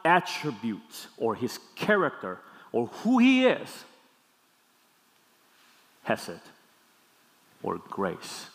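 Male pastor, Korean accent, mid-pitched voice. Deliberate, emphatic teaching delivery, recorded with long hall reverb. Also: wildly swooping pitch. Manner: didactic, deliberate phrasing with emphatic repeats and check-in questions. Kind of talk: teaching sermon. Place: large hall, speaking to a congregation.

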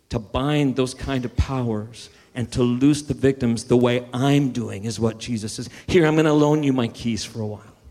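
A man's voice: medium pitch, darkish tone, in a large hall. A man preaching a sermon, building emphasis and sometimes restarting his words. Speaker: pastor.

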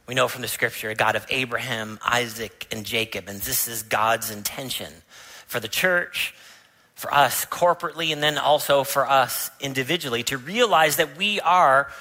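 A male pastor preaching in a church, with a bright, clear-toned voice at a middling pitch. He tells stories in a conversational way and builds to emphatic points. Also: moderate pace, rough recording. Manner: storytelling, rising to emphatic preaching. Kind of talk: sermon. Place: church sanctuary.

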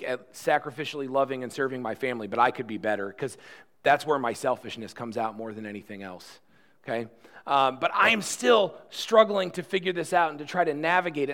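A man's voice: on the high side, sharp tone. A man in his early forties preaching a conversational, earnest sermon with rhetorical questions and self-deprecating asides, often repeating words and restarting. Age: 40s. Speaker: pastor.

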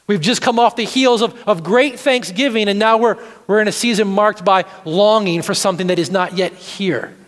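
A man preaching a sermon in an earnest, deliberate way, repeating phrases for emphasis. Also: high voice, fairly bright tone. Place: large reverberant room, church service. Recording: live sermon in reverberant hall.

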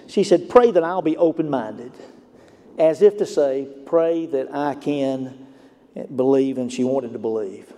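A man with a Lower Alabama Southern accent preaching a sermon, moving from storytelling to emphatic points. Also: a little slow, dark tone, long reverb tail. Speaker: pastor.

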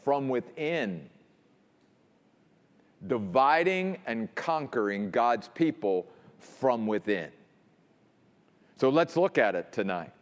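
Animated preacher in a reverberant hall. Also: male voice, takes it slowly, pauses often. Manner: emphatic preaching, drops low and quiet for stress. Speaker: pastor. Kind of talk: sermon.

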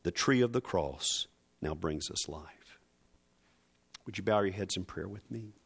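A man preaching a sermon in an emphatic, dramatic and deliberate way, building through repeated phrases. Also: deep voice, expressive, vocal fry, breathy edge.

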